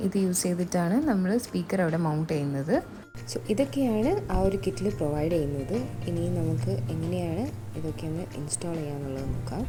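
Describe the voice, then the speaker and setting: A woman's voice: on the low side, dark tone. A woman with a Malayali accent gives a hands-on, step-by-step installation demonstration in a conversational way, mixing in English terms. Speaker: presenter of a product installation tutorial. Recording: music playing behind the voice. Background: clearly audible background music.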